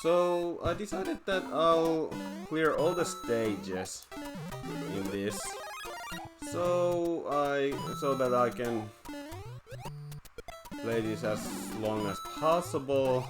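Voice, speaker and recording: Slow, hesitant Finnish-accented man. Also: medium pitch. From Finland. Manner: hesitant, many restarts and filler words. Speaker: let's play host. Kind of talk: casual commentary while playing a video game. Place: at home playing on a TV. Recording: game music playing underneath. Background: video game music.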